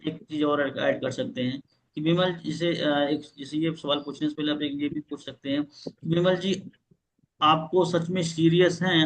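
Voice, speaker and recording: A man's voice: on the high side, poor audio. A man leading a live online sales training, explaining how to call old leads and acting out both sides of the call with repeated questions. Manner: explains with role-play examples and repeated questions. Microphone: video call.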